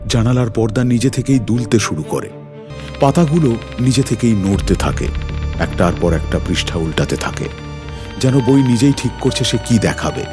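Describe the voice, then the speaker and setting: A man's voice: mid-range voice, expressive, moderate pace. A man narrating a scripted suspense story in a dramatic, suspenseful manner. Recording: music behind the voice.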